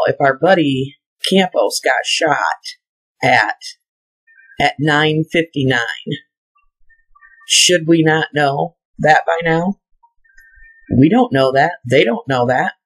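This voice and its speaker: An amateur video commentator with a husky voice, talking casually in a skeptical, emphatic way. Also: medium pitch, slow, pauses often, rough recording. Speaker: video narrator and analyst.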